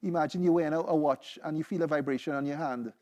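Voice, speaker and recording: A man's voice: fairly high pitch, fast, a little mellow. A young man with a Trinidadian accent giving a seminar talk, loose and conversational, often checking in with "right?" and "you know". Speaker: PhD candidate presenting. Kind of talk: seminar presentation with live demo.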